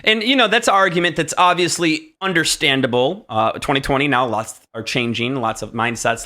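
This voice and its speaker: A man with a bright, forward voice at an ordinary male pitch, talking as a show host. He explains things conversationally and with emphasis, often stopping to check that the listener follows. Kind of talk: conversational music industry commentary.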